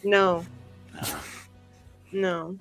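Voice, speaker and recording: A woman from Colombia talks in a casual, playful way, like a player hanging out with her gaming group. Her voice sits at a medium pitch, with faint music behind her. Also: flat delivery, drawn-out words. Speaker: player on a tabletop roleplaying show.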